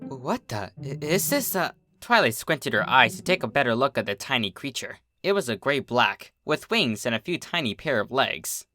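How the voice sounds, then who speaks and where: A narrator reading a scripted story aloud in an expressive storytelling style. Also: mid-range voice, normal speed, few pauses, dry recording, soft background music. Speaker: fan fiction narrator.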